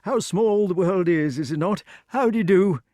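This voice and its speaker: A man's voice: high-pitched, moderate pace, dark tone, dry recording, studio quality, voice pushed up, lightly acted. A man voicing an amiable, dithering old knight king in an audiobook, with flustered, blustering, exclamatory upper-class chatter. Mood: nervous, polite. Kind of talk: audiobook character voice.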